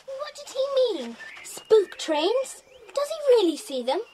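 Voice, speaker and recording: A woman's voice: very high-pitched, sing-song, takes it slowly, noisy background, heavily acted. A female performer playing a timid, sensible little English girl, earnest and slightly plaintive, with an English accent in a children's audio drama. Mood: anxious.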